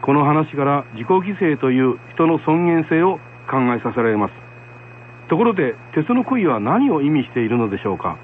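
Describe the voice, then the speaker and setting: Higher-pitched male presenter, muffled sound. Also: big pitch swings, moderate pace. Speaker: program presenter giving commentary. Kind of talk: reflective commentary. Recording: muffled lo-fi with faint noise, hum.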